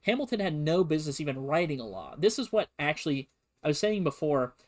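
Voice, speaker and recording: A man's voice: a little high, sing-song, fast talker. A man streaming a casual, comedic history lesson, full of sarcastic and playful asides. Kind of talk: casual, comedic history lecture to a livestream audience.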